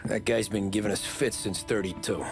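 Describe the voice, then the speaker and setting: Eager, gravelly gangster gunman. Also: on the low side, fairly even intonation, moderate pace. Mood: grim, eager. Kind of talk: dramatic video game cutscene acting. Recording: loud music under the voice.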